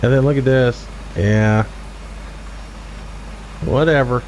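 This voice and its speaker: A man's voice: medium pitch, takes it slowly, a little mellow, lo-fi sound, a little hiss or hum. A man in his forties giving casual, laid-back gameplay commentary as a streamer, chatting back to his viewers. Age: 40s. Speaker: game streamer.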